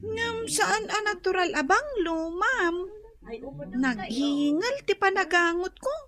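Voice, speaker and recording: Very high-pitched little Ilocano flower girl. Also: animated, slow, a crowd in the background, heavily acted. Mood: eager, cheeky. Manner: childlike, frank, polite. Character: chatty innocent young girl selling jasmine garlands. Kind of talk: Ilocano radio drama acting.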